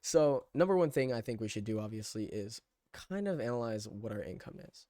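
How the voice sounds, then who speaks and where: A young man of twenty talks in a casual, wandering way, like someone vlogging over a screen share. His pitch sits in the middle range for a man. He is self-deprecating and drifts off on side thoughts, recorded dry with very little room sound. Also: lively intonation, a little fast, mellow, no room sound. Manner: self-deprecating, loose and digressive. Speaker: vlogger documenting a personal challenge.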